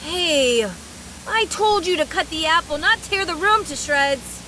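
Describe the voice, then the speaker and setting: A woman's voice: very high voice, animated, a little slow, bright, forceful tone, a little hiss or hum, exaggerated voice, poor audio. A woman voice-acting a brash, mischievous young woman fighter from a video game, teasing in a playful, folksy, mock-serious way.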